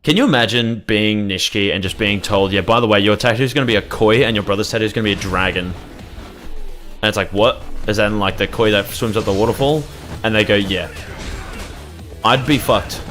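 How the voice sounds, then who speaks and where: Low-voiced man doing irreverent gameplay commentary. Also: a little fast, a bit bright, faint music behind. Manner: irreverent, profane, rambling. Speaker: gaming video creator.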